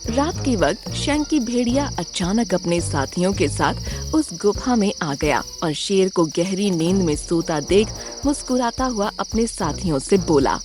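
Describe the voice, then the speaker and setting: Lady narrating a kids cartoon story, really expressive, music in background. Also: medium pitch, measured pace.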